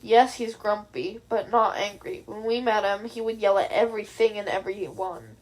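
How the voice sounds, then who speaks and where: A woman reading the lines of a tired, earnest young woman in a story, thoughtful and gently persuading a friend. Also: medium pitch, fairly even intonation, moderate pace, close to an everyday voice, low-quality recording.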